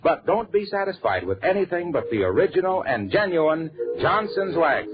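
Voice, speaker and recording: A man's voice: fairly high pitch, very animated, normal speed, over music. A man working as a 1940s radio announcer, reading scripted introductions and sponsor copy in a polished, emphatic sales delivery. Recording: dull 1940s radio recording.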